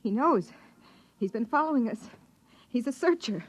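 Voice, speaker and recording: An actress playing a frightened, pleading wife on the run in a 1950s radio drama. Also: female voice, fairly high pitch, lively intonation, moderate pace, a little hiss or hum, close to an everyday voice. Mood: fearful. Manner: breathless, anxious, pleading. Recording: dull, lo-fi old radio recording.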